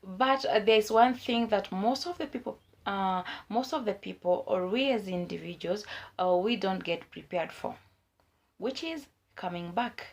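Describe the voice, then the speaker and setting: A young woman vlogger with a hoarse voice from a cold, giving earnest advice in a casual way, with many fillers. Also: medium pitch, unhurried. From Kenya. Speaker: YouTube vlogger.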